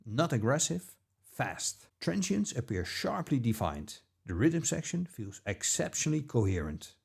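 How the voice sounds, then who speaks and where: A man narrates a scripted gear review with deliberate phrasing. His mid-pitched voice is husky with a gravelly edge, and it moves up and down in a lively way.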